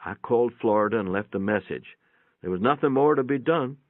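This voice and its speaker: Phone-quality dry recording, guy with lowish voice reading a story, folksy matter of fact narrator. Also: lively intonation, fairly quick, no echo. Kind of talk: dramatic first-person literary reading. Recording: muffled, narrow phone-like sound, dry.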